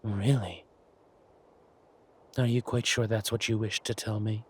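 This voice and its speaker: A man playing a villainous king in an audio roleplay, silky and amorous yet quietly furious, with deliberate, mock-polite speech. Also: lowish voice, level tone, husky. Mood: mock polite, threatening.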